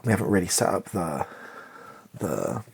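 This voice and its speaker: British guy doing asmr, really low voice that moves around a lot, musing to himself wryly while typing. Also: big pitch swings, moderate pace, gravelly, a little mellow, breathy edge. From Britain. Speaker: ASMR video creator. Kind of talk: ASMR, thinking aloud while writing. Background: keyboard typing and clicks.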